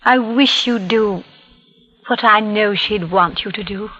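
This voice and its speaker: An actress playing a frail, gentle middle-aged aunt in an old-time radio drama, measured and pleading. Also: female voice, mid-range voice. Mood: earnest pleading. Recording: muffled vintage radio recording.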